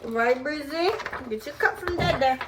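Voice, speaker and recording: A woman's voice: sits high, normal speed, noisy background. A woman reading a picture book aloud to her toddler, with casual asides to the child as she goes.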